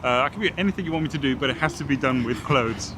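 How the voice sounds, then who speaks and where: A man's voice: medium pitch, expressive, quick pace. A middle-aged man from Wales with a Welsh accent tinged with Geordie, chatting casually and confidently about his work as a fashion stylist while someone films him on a phone. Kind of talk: casual on-the-street chat. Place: outdoors on a city street. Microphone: phone camera held by the other person. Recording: street noise behind, phone recording.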